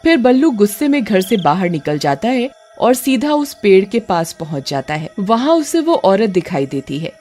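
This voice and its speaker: A woman's voice: mid-range voice, very animated, moderate pace, hardly any pauses, mellow, soft background music. A woman reading a scripted horror story as its narrator.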